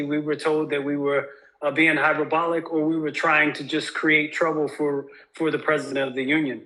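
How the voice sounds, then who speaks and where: A man's voice: a little high, bright, forceful tone, poor audio. A man giving a campaign message to union members as a candidate, earnest and insistent.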